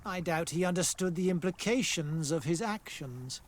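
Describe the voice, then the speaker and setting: Pompous, high-voiced male scientist. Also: animated, normal speed. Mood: defensive. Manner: formal, pompous, loftily dismissive. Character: proud male scientist, head of colonial laboratories, defensive. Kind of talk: scripted fantasy game acting.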